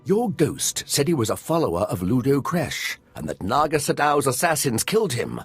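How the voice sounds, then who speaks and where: An actor playing a giddy, scholarly tomb-raiding archaeologist in a video game, effusive and theatrical in manner. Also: male voice, mid-range voice, sing-song, normal speed, breathy edge, no echo. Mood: eager excitement. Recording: music behind the voice.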